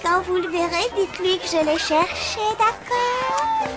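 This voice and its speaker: A woman's voice: very high-pitched, expressive, unhurried, loud music behind the voice. A woman voicing a gushing, clingy schoolgirl with a crush in a French cartoon. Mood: adoring. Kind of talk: French cartoon dub acting.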